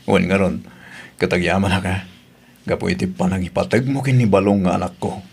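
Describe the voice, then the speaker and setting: A man's voice: deep voice, steady tone, fairly quick, creaky, darkish tone, slightly rough, full character voice. A man playing a humble, bashful father in an audio drama, speaking hesitantly with a Filipino accent. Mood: tender, grateful. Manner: shy, hesitant. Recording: faint background noise.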